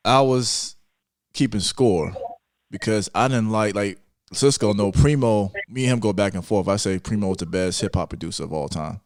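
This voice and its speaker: A man's voice: lowish voice, normal speed, darkish tone, no echo. Insistent man in a dry-sounding debate. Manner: insistent, repeats himself to press a point. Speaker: podcast guest. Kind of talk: casual music debate.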